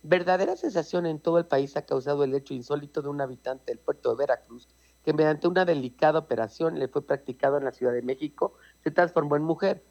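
A man's voice: a little high, fast talker, darkish tone, dull audio. A Mexican man with a Mexican Spanish accent, chatting casually and reading out an old news story, explaining with asides and repeated words.

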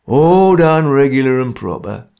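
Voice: mid-range voice, expressive, unhurried, dark tone, clean tone. A narrator voicing a blunt, menacing ringleader who bullies a weaker man, in a storybook reading. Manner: grim, blunt, bullying. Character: tough, menacing Cockney ringleader.

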